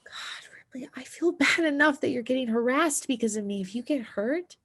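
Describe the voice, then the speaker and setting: Husky, creaky-voiced teenage girl played by a woman. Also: high-pitched, animated, normal speed, a bit bright, heavily acted. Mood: worried. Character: earnest, worried transgender teenage girl snowboarder in love. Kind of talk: screenplay table read, acted dialogue.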